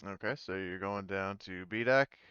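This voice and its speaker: Clear, low-voiced male game master. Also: normal speed, bright, forceful tone, dry recording, lo-fi sound. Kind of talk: casual game narration.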